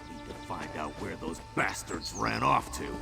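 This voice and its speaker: An actor playing a tough freed male prisoner in a video game, talking in a dry, joking, gruff way. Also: deep voice, lively intonation, moderate pace, music in the background, heavily acted. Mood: determined.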